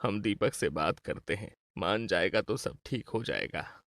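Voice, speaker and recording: An actor playing a caring, gentle old grandfather in a cartoon moral story. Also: lowish voice, measured pace, dry recording, clean studio sound. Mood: concerned. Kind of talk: acted Hindi moral-story cartoon dialogue.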